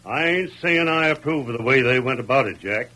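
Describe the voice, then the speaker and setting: An actor playing a stern, fair-minded frontier sheriff with a drawling, authoritative manner, in an old-time radio drama. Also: male voice, mid-range voice, animated, fairly quick, sharp tone. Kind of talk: 1930s radio drama acting.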